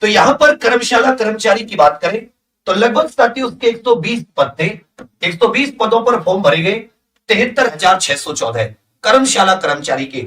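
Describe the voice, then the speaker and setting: High-pitched, emphatic man teaching. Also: a bit bright, distant mic. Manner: emphatic, motivational, repeats phrases for stress. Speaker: exam coaching teacher. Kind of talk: energetic exam-prep announcement to students.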